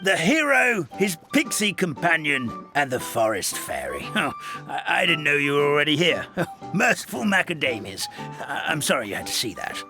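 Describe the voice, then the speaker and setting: A man voicing an elderly, fussy origami forest animal in a game, expressive and prone to chuckling and scolding. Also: on the high side, normal speed. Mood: flustered. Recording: music under the voice.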